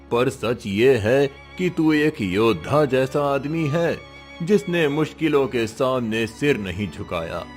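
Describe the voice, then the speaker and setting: A man playing a kindly old village uncle in a moral story, worried, sympathetic and encouraging. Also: lowish voice. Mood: moved, worried. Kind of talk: Hindi moral story voice acting. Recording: faint music behind the voice.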